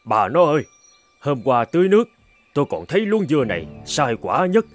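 A man voicing a grumbling but soft-hearted old farmer in an animated folk tale. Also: a little high, a little fast, faint music behind. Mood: puzzled.

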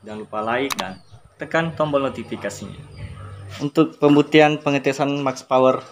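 A man's voice: medium pitch, normal speed, slight background noise. Chatty man filming himself outdoors. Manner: upbeat, chatty, addresses viewers directly. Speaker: fishing gear reviewer. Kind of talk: casual product review vlog. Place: outdoors by a school building. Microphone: handheld phone camera close up.

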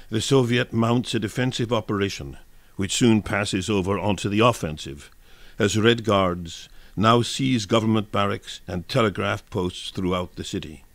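Man, lowish pitch, dry recording, formal measured delivery, dramatic radio narration. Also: no room sound. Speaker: narrator and reader. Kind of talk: scripted dramatic reading for radio.

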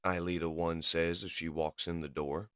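A man's voice: deep voice, little pitch movement, moderate pace, a bit bright, no echo. A man giving dramatic scripted narration as the storyteller of a comic book reading.

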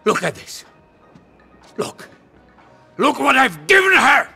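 Video game Russian mobster: middle-aged, menacing, self-pitying, Russian accent, big pitch swings, hard forceful delivery. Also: male voice, wildly swooping pitch, slow pace, vocal fry, breathy edge, faint music behind, full character voice. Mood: explosive rage. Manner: brooding mutters swinging into explosive shouting.

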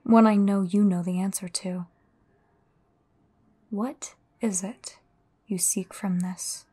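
A woman playing a cryptic, foreboding seer in an audio roleplay, with a husky, breathy voice and measured, earnest delivery. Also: mid-range voice, steady tone, warm tone. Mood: ominous, insistent. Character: cryptic, foreboding female seer offering grave warnings.

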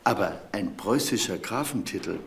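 Deep-voiced, slick title broker. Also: male voice, expressive, normal speed, fairly bright tone, slight background noise. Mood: smooth, pressing. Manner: formal, insinuating. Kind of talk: German crime radio drama acting.

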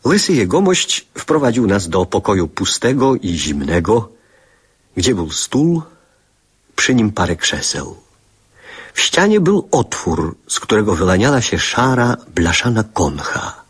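A man's voice: on the low side, big pitch swings, unhurried, slightly rough. A man narrating childhood memories in a scripted, literary radio play style.

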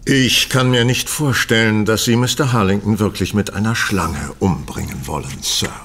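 An actor playing a shrewd, unruffled gentleman detective in an audio drama, polite and composed, with a husky voice. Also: fairly low pitch, normal speed, slight background noise. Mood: composed, probing. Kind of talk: German audio drama acting.

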